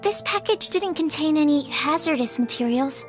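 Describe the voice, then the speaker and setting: A nervous, bookish young female research assistant, with an extremely high voice. The speech is timid, polite and apologetic game dialogue, earnest about study, over soft music. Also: animated, moderate pace. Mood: earnest, matter-of-fact. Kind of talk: video game character acting. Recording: music playing behind the voice.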